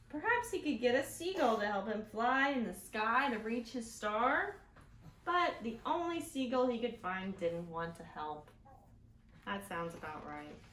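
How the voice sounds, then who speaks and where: A woman hosting a children's storytime, reading aloud expressively and pausing to explain things and ask the children questions. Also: on the high side, fairly bright tone. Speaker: children's librarian, storytime host. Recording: roomy echo, some background noise.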